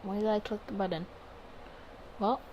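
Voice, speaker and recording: A woman with a mid-pitched voice reads a visual novel aloud as a let's play host. She slips between narration and chatty, candid asides. There is some background noise on the recording. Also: fairly even intonation, moderate pace, darkish tone, lo-fi sound. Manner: chatty, candid side comments between read passages. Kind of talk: casual let's play, reading story text aloud with offhand reactions.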